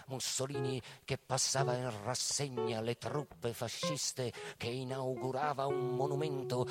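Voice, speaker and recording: A male stage performer narrates a scripted piece with theatrical emphasis. His mid-range voice moves at a slow, declamatory pace, with clear music behind it. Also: medium pitch.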